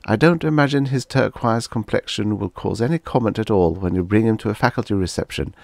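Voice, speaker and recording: A man's voice: fairly low pitch, fairly quick, vocal fry, a little mellow, dry recording, voice pushed up. A man voicing a professor's bitter, sardonic wife in a story reading, dry and scolding.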